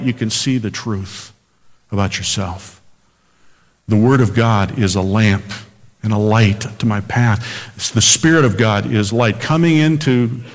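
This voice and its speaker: A man preaching a radio sermon, earnest, slowing down when he quotes scripture. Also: mid-range voice, in a large hall. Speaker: pastor.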